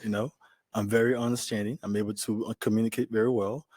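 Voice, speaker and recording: A man, answering questions politely and deliberately as a dating show contestant. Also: lowish voice, little pitch movement, darkish tone, no room sound. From Liberia. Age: 30s. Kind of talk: measured formal answers.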